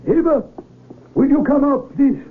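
An actor playing a dignified older village father in a radio drama, speaking in a measured, formal way. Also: very high voice, lively intonation. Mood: solemn welcome. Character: dignified village elder, father of a grown daughter. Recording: lo-fi, noisy old radio broadcast.

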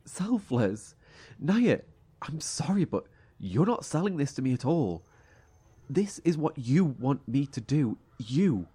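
An actor playing a blunt, distrustful, weary survivor in a horror audio drama. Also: male voice, a little high, big pitch swings, slow, warm tone, breathy edge, heavily acted. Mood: indignant.

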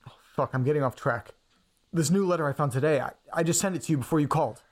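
A man's voice: on the high side, quick pace, lightly acted. A man playing an anxious, awkward, obsessive young man in an audio drama, speaking in an earnest, hesitant, stammering way. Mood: flustered, earnest. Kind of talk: audio drama acting, naturalistic dialogue.

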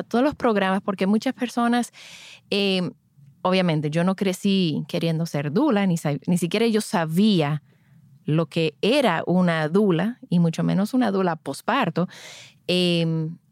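A woman's voice: medium pitch, no echo. Woman podcast host, dry close sound. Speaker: podcast host, birth and postpartum educator. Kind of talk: podcast intro and conversational interview.

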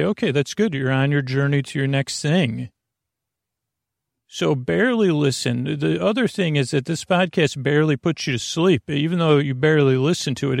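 A man with a gravelly voice hosting a sleep podcast, rambling through a bedtime monologue, over-explaining and trailing off mid-thought. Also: on the high side, no echo, studio quality. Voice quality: gravelly, creaky. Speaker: podcast host.

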